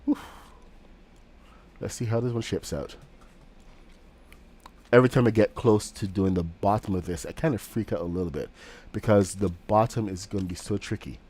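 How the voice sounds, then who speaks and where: Male streamer, slightly low voice, has a cold; loose, rambling play-by-play with fillers. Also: moderate pace, long pauses, a little mellow, breathy edge. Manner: rambling, with frequent fillers and restarts. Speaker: game streamer. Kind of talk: casual live-stream commentary while building.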